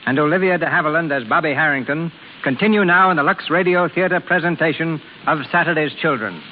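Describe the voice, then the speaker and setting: A man's voice: fairly high pitch, fast, noisy background. A man narrating as host of a vintage radio drama in a scripted announcer style. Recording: tinny old radio broadcast.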